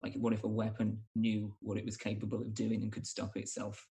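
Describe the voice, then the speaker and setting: A man's voice: fairly low pitch, completely flat delivery, fairly quick, mellow, far from the mic. A man chatting casually about films, speaking thoughtfully and often rephrasing as he goes. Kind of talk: casual film chat.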